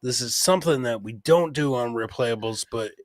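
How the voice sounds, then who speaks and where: A man hosting a casual livestream podcast, effusively plugging and shouting out his guests with profane, brotherly familiarity. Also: mid-range voice, normal speed, no echo. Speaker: podcast host. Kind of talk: casual livestream chat with plugs and shout-outs.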